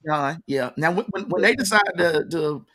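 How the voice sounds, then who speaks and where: An American man with a slightly high voice works as an interview host. He talks casually and in a folksy manner, circling back and repeating phrases as he goes. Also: lively intonation, moderate pace, bright, forceful tone.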